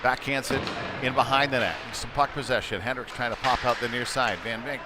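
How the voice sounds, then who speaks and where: A man with a medium-pitched voice does live hockey play-by-play from a noisy indoor rink. He talks conversationally, following the puck, and is quick to lift into excited calls when the action heats up. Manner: conversational, breaks into excited calls during scoring chances. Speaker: play-by-play sports commentator. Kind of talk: live youth hockey commentary. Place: indoor ice rink during a game. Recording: clearly noisy sports rink behind voice.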